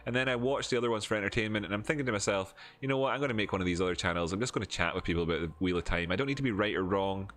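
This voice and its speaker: A man's voice: lowish voice, racing, hardly any pauses, fairly bright tone. A man with an Edinburgh Scottish accent, chatting casually on a live stream, answering chat and explaining charts with lots of fillers and restarts. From Scotland. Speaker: crypto streamer.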